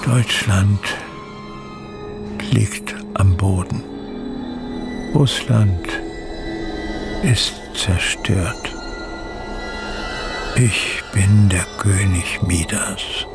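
An actor playing a bitter, grandiose old man brooding in a radio drama, halting as he speaks. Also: sits low, steady tone, gravelly, full character voice. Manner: slow, halting, brooding delivery. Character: dying old man, bitter, grandiose, fallen war profiteer. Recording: loud ominous music behind.

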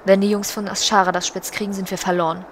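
A woman's voice: medium pitch, flat delivery, fast talker, slight background noise, lightly acted. A woman playing a regal, solemn, commanding undead banshee queen in an audio drama, speaking in a stately, formal way. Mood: urgent, conspiratorial. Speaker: voice actor in a parody audio drama.

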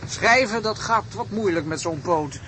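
A man playing a smooth-talking young student conman who poses as a professor, glib and hesitating, in a radio play. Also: sits high, expressive, normal speed, bright, forceful tone. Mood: glib, deferential. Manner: glib, hesitating, mock-deferential. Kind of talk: Dutch radio play acting. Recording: clearly noisy radio play recording.

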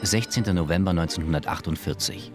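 A man's voice: sits low, quick pace, over music. A man making terse, scripted documentary announcements. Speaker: announcer of dates and speakers.

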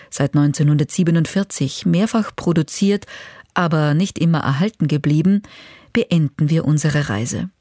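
A woman's voice: low-pitched, warm tone, dry recording. Female radio presenter, scripted narration, dry intimate acoustic.

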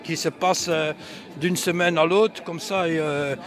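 Man, non-native speaker, halting, restarts, fillers, protester, outdoors. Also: a little high, steady tone. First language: not French. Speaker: protester interviewed at a demonstration. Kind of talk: hesitant street interview answers. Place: outdoor street demonstration.

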